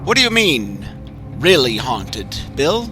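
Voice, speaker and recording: Man voicing a skeptical best friend, much higher voice, disbelieving questions, concerned, storybook reading. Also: big pitch swings, takes it slowly, over music. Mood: incredulous.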